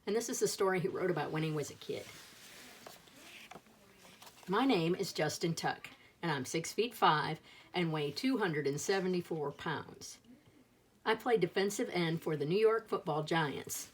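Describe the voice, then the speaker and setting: A woman's voice: on the low side, normal speed, a little hiss or hum. A woman reading a children's picture book aloud as a storyteller, expressively acting out the dialogue.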